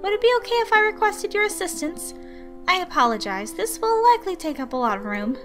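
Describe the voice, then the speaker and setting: A woman's voice: very high voice, sing-song, fairly quick, over music, pitched above the performer's own voice. A woman voicing an embarrassed young woman scholar in a visual novel, with formal, apologetic phrasing. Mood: polite, formal. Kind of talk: visual novel character acting.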